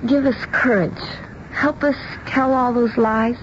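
A petulant young American bride in a radio play, voiced by a woman. Her voice is fairly high, upset and plaintive. It comes through a dull old broadcast with a faint hiss. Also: steady tone, measured pace, close to an everyday voice. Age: young adult. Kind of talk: radio drama acting.

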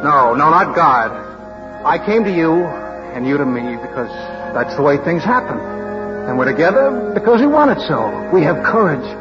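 A man's voice: a little high, big pitch swings, normal speed. An actor playing a boastful, awkwardly romantic young working man in an old radio drama, brash and blustering. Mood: fervent, proud. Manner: brash, blustering, self-admiring. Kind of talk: 1940s radio drama acting. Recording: muffled old radio broadcast with music.

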